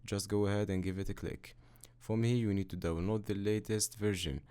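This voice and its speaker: Man, lowish pitch, tutorial host, step-by-step software narration, instructional, matter-of-fact. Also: steady tone, normal speed, clean tone.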